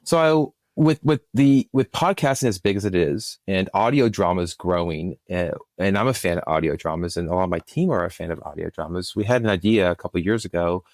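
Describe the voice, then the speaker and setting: A middle-aged man with a very low voice talks as an interview guest. His delivery is earnest and emphatic, like a practised storyteller piling up his words. The sound is close and very dry. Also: fairly quick, hardly any pauses, a little mellow, no room sound. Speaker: guest, film and podcast producer. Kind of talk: conversational interview answers.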